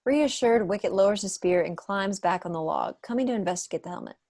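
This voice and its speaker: A woman's voice: medium pitch, fast talker, dark tone, no room sound, clean studio sound. A woman narrator reading out stage directions at a scripted table read. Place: indoor room at home, video call.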